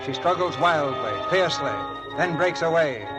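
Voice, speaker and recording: A man presenting scripted 1940s radio theatre as host and narrator, with grand, measured showmanship. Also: a little high. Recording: vintage radio broadcast with music.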